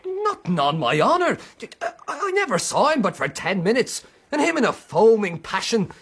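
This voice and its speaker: An actor playing a slippery, easily affronted land agent with an oily manner in a radio drama. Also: male voice, high voice, wildly swooping pitch, normal speed, fairly bright tone, slightly rough, heavily acted.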